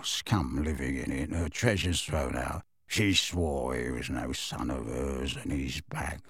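A man voicing an old male house-elf servant who mutters bitterly under his breath, low and slow. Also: no room sound, clean studio sound, exaggerated voice.

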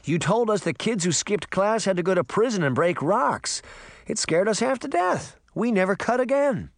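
Man acting a breezy teasing uncle, lively swoops. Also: high-pitched, moderate pace, no echo, studio quality, voice pushed up. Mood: surprised, insistent. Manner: playful, ribbing, casual. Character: breezy, teasing grown uncle, jokey and familiar. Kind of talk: audiobook character voice.

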